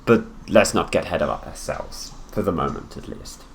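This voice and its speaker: Casting: male actor as imperious, coolly menacing young royal heir for ASMR roleplay; very deep, condescending delivery, noticeable background hiss. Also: expressive. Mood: smug, matter-of-fact. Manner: condescending, deliberate, drawn-out phrasing with pauses. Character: haughty possessive young prince, heir to the throne, coolly menacing. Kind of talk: improvised ASMR roleplay, addressing the listener.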